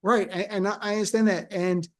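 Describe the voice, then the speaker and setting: A man's voice: high-pitched, flat delivery, brisk. A man hosting a radio interview, chatting with his guest, asking questions and giving show details. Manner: conversational, asks questions and plugs the show. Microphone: studio microphone.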